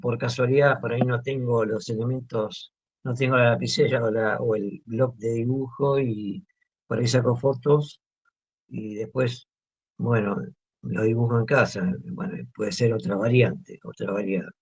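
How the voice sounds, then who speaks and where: An Argentine man speaks in a gravelly, creaky voice, pausing often and restarting his sentences. The sound is muffled and lo-fi, as if from a modest interview recording. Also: mid-range voice, fairly even intonation. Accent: Argentine Spanish. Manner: hesitant, many fillers and restarts. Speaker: guest artist. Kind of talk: relaxed interview talk. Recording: muffled lo-fi audio.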